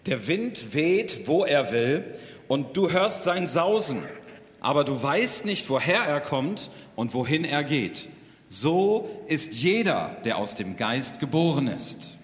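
A man interprets a sermon in short phrases. His voice sits a little high and swings widely in melody. It rings through a very echoey hall. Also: big pitch swings, slow pace. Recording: echoey, reverberant church hall.

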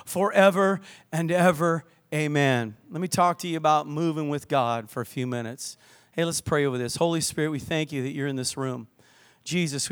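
An older man preaching a lively sermon in church, mixing scripture with jokes and stories. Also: fairly high pitch, smooth. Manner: lively, joking preacher with asides to the crowd. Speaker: pastor. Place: church hall with balcony.

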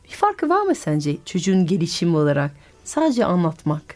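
A woman's voice: on the low side, huge pitch swings, fairly quick, darkish tone. A woman from France, speaking in a language that is not her first, hosts a radio conversation. Her voice rises and falls a great deal. She asks probing questions and often completes her guest's thoughts. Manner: curious, questioning, finishes the guest's sentences. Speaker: radio host and storyteller. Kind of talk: radio interview.

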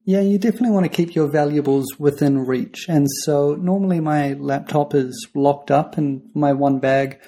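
Relaxed New Zealand man telling travel tips. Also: fairly high pitch, normal speed, few pauses. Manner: storytelling, animated when quoting others. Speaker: podcast co-host. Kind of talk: casual travel podcast chat.

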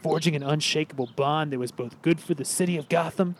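A man's voice: fairly high pitch, fairly quick, a little hiss or hum, close to an everyday voice. A man playing an earnest, sentimental older ex-policeman who works as a school official in a comedy cartoon, speaking in a wordy, dramatic way. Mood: flustered, sentimental.

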